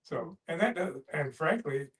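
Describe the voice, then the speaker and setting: A man talking reflectively in a group, in plain words that come out haltingly. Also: a little high, animated, a bit bright, recorded from a distance.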